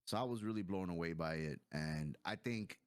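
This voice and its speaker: A man's voice: low voice, normal speed, clear, resonant tone, no echo. A man giving his opinions as a guest in a casual movie review chat, explaining his points as he goes.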